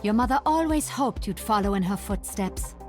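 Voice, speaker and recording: A kindly heroine, acted by a woman for a video game, sincere in delivery. Her mid-pitched voice has lively movement, with faint music behind. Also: moderate pace, lightly acted. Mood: gentle, sincere. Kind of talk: video game hero voice lines.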